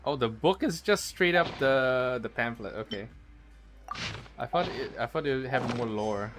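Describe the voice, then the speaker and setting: Male streamer, medium pitch, casual wry commentary, faint game music behind. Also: expressive, normal speed, a bit bright. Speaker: livestreamer. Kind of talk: live game commentary with reading aloud.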